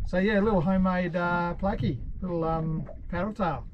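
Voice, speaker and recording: A middle-aged man talks casually as he lands a fish from a small open boat. His voice sits a little high, and he coaches and drops into hushed asides. The sound is dull and muffled, with clear wind and water noise behind it. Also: a little fast. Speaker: fishing vlogger. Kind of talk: casual fishing commentary. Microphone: action camera on boat.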